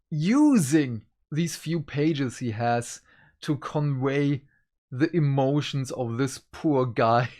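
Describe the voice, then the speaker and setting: Slow, deliberate reviewer, emphatic. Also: mid-range voice, a little mellow. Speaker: book reviewer and channel host. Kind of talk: casual review talk to viewers.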